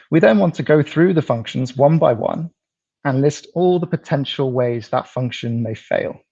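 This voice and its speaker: A man from the UK, presenting an app in a live pitch, explaining steadily with some ums and restarts. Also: darkish tone, slightly rough, dry recording, dull audio. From United Kingdom.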